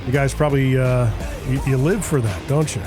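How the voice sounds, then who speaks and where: A man's voice: medium pitch, fairly quick, over music. Chatty male podcast host. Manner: chatty, rambling with many restarts. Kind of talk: casual business interview chat.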